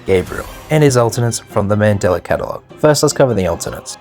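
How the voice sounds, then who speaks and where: Over background music, a man with a fairly low voice narrates a commentary video. He speaks in a casual, opinionated way, using slang and profanity throughout his scripted delivery. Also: a little mellow. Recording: music behind the voice.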